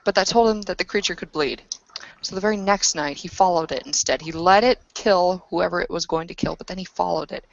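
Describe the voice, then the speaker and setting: Woman, low register, improvised roleplay storyteller, halting delivery with pauses, slightly muffled lo-fi sound. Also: vocal fry. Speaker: game master and player narrating a tabletop roleplaying session. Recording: dull lo-fi audio.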